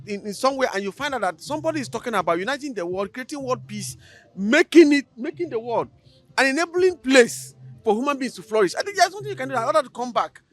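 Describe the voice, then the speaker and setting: A man's voice: very high voice, a bit bright. A man, speaking emphatically and animatedly, with occasional hesitations. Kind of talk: animated discussion.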